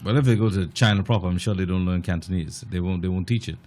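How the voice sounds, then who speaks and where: A man's voice: low voice, fast, a little mellow. Emphatic Bahamian man debating. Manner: emphatic, argues points with personal anecdotes. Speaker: talk show panelist. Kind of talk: casual panel discussion.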